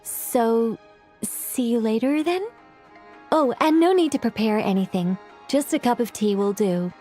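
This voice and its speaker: A friendly young scholarly game character. Her voice sits a little high, breezy and polite, eager to talk. Music plays clearly behind her. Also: expressive, a little slow, mellow. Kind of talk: video game voice acting.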